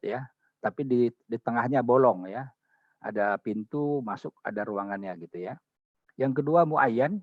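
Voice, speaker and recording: A man's voice: medium pitch, no room sound, muffled recording. A man with an Indonesian accent giving an explanatory lecture as a guest speaker, in a didactic way, often punctuating his points with short check-in tags. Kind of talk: explanatory lecture on religious law.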